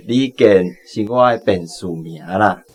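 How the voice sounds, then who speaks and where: An actor playing a genteel silent-film narrator visiting an old army friend in a radio drama, jovial, with a Taiwanese accent. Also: male voice, medium pitch.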